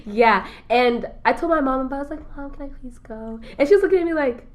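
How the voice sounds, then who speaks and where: A woman's voice: high voice, quick pace. Giggly young woman, chatty podcast guest. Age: young adult. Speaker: guest, cosmetics founder. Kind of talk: casual podcast chat.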